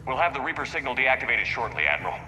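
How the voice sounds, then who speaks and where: A man's voice: medium pitch, sing-song, racing, background noise, close to an everyday voice. A man playing a resolute human military commander in a science fiction video game. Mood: firm.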